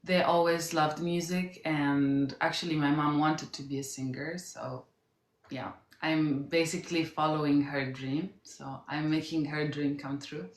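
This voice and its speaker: A woman in her twenties with an Italian accent answers casually as an interview guest. Her voice sits very low for a woman, and the room sounds echoey. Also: steady tone, unhurried. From Italy. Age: 20s. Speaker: guest singer.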